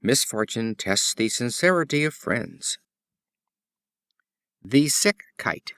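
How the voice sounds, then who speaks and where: A man reading classic fables as an audiobook narrator, in an expressive and deliberate storytelling style. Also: medium pitch, dry recording, clean studio sound. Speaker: audiobook reader.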